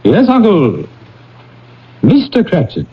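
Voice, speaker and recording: An actor playing a cheerful, warm-hearted young gentleman in a classic radio drama, earnest, genial and coaxing. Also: high-pitched, huge pitch swings, slow, breathy edge, heavily acted. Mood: kindly. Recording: dull, slightly noisy old radio recording.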